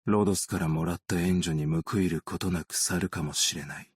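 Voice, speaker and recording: A man voicing a weary, gentle, long-lived optician in a video game, measured, understated and quietly melancholic. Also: deep voice, steady tone, husky, dry recording, clean studio sound. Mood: weary, resigned. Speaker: video game character voice actor. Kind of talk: scripted game character voice lines.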